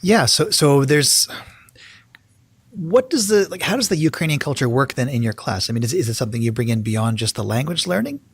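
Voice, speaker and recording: Woman podcast host, very deep husky voice, lively pitch, relaxed questioning. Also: a little fast, no echo. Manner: friendly, relaxed, asks follow-up questions with restarts. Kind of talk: casual interview hosting.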